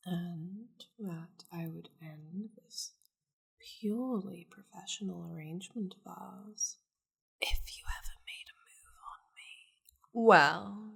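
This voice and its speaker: A seductive demon lady of war, acted by a woman for an intimate ASMR roleplay. She speaks slowly and possessively, with a breathy, husky tone and a gravelly fry. Her manner is teasing and domineering, close to a whisper at times. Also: mid-range voice, exaggerated voice. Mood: whispery teasing, then smug. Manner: slow, breathy, teasing, often dropping into whispers.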